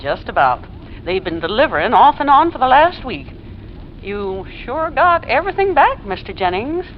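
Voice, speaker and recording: A woman's voice: sits high, big pitch swings, moderate pace. On muffled, lightly noisy vintage radio audio, a nosy American landlady. She is chatty, plain-spoken and skeptical, her mid-range female voice rising and dipping expressively in homespun speech. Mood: curious. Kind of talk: 1940s radio drama acting. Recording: dull, noisy old radio recording.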